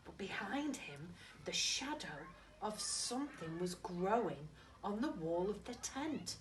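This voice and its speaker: A woman's voice: mid-range voice, sing-song, slow, recorded from a distance, slight background noise, low-quality recording. A woman reading a children's story expressively, dramatic and addressing young listeners directly. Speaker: primary school teacher reading to children.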